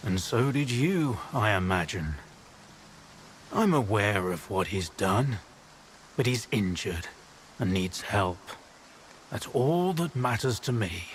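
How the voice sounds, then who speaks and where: An actor playing a calm, principled wasteland doctor in a video game, speaking in a measured, solemn way. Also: male voice, fairly low pitch, a little hiss or hum. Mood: firm, reflective. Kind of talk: dramatic video game dialogue.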